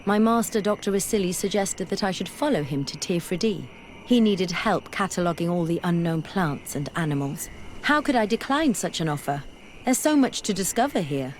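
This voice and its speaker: A woman's voice: on the low side, lively intonation, normal speed, slight background noise, natural voice. An actress playing a keen, curious young woman scholar in a fantasy video game, speaking earnestly and articulately. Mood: wistful, curious. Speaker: companion character. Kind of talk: fantasy game dialogue acting.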